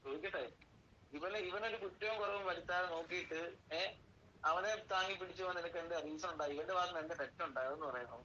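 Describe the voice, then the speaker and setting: A man with a Kerala Malayalam accent argues in a sharp, forceful, high voice. He questions insistently and repeats himself as he scolds, on a lo-fi phone recording. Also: quick pace. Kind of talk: heated phone conversation, questioning. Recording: dull lo-fi phone call, faint noise.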